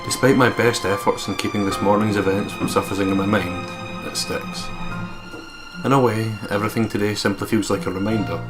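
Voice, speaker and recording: A man reading a visual novel aloud in an even tone during a let's play, swearing loudly when startled. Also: on the low side, fairly quick, over music.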